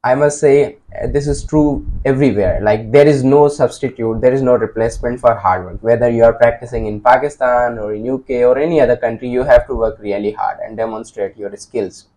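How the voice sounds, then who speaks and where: A man's voice: mid-range voice, steady tone, a little fast, few pauses, dark tone, low-quality recording. Earnest male interviewer with long questions. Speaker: interview host.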